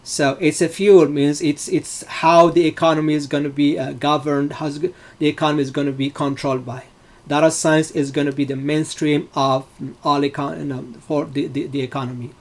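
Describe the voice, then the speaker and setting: A man's voice: on the high side, little pitch movement, moderate pace. A middle-aged man from Morocco giving a live online data science lesson, speaking with many fillers and loosely built sentences.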